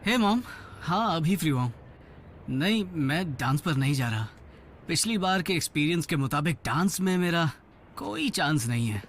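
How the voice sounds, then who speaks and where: A man's voice: a little high, expressive, normal speed, a bit bright, slight background noise. An actor playing an awkward, wry teenage boy in a cartoon dub, casual and mixing in English words. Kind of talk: dubbed cartoon dialogue. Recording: music under the voice.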